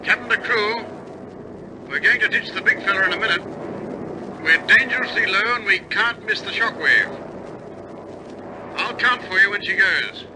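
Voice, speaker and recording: A man's voice: sits high, moderate pace, long pauses, lightly acted. A man playing an RAF bomber crewman in an old-style radio drama, speaking in a clipped, urgent, stoic military way. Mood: focused, tense. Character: male RAF bomber crewmen in tense wartime flight. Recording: noisy old recording, loud aircraft engine drone. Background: aircraft engine roar.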